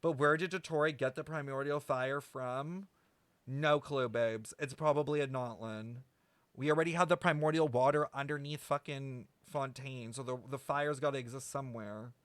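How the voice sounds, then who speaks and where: A man streaming casual game commentary, talking to chat in an animated, slangy, sweary way. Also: mid-range voice, moderate pace, no room sound. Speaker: streamer reacting to a video game. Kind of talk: casual livestream commentary and lore speculation.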